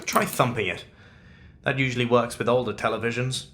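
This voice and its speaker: A male actor playing a shrewd, commanding otherworldly investigator in an audio drama, measured and articulate. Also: mid-range voice, steady tone, background noise, lightly acted. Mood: musing.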